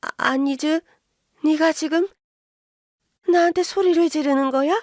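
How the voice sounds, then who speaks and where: A woman voicing a shrill, pushy older mother-in-law who scolds and accuses in a high, indignant voice. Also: steady tone, unhurried, darkish tone, no room sound, studio quality. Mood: outraged.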